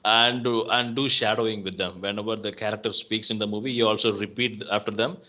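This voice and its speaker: A man with an Indian English accent chatting casually on a call, relaxed and full of fillers. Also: lowish voice, a little fast, highs cut off. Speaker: channel host. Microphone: call audio.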